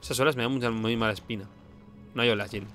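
A man's voice: mid-range voice, fairly even intonation, fast talker, sharp tone, soft background music. A man with an accent from Spain giving casual, sarcastic gameplay commentary as a streamer. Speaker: video game streamer.

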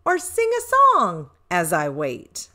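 A middle-aged woman hosting a children's show, reading aloud expressively and deliberately in a sing-song way for toddlers. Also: on the low side, huge pitch swings, bright, forceful tone.

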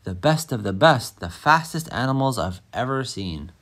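A man reading a children's picture book aloud as an expressive, dramatic storyteller. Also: medium pitch, moderate pace.

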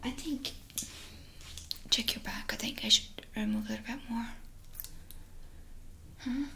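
A woman in her twenties doing a soft-spoken ASMR personal attention roleplay, gentle and breathy, murmuring short phrases close to the microphone. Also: fairly high pitch, fairly even intonation, a little slow, a little hiss or hum. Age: 20s. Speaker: ASMR roleplayer playing a barber. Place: indoors, small curtained room.

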